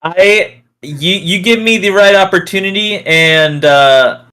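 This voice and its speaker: Man, slightly high voice, casual podcast storyteller, halting delivery, informal. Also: pushed and bright, clean tone. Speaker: podcast co-host.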